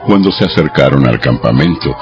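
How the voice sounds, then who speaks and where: A man's voice: very deep voice, animated, fairly quick, muffled recording, music in the background. A man delivering dramatized scripted Bible narration as the narrator.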